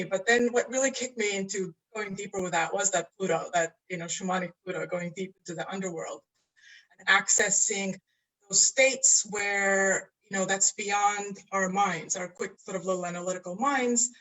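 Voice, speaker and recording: A woman's voice: medium pitch, fairly bright tone, rough recording. A woman from Estonia, an astrologer and coach, talking casually in an interview over a video call, earnest and searching for words.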